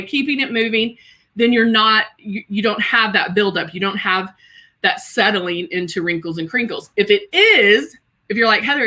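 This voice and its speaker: A woman of about fifty with a slightly high, very bright voice hosts a live beauty tutorial. She chats casually and exclaims freely, speaking directly to her viewers as she works. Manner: chatty and exclamatory, addressing viewers as girl and guys. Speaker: host of a live makeup tutorial stream. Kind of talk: casual livestream chat while demonstrating makeup and reading viewer comments.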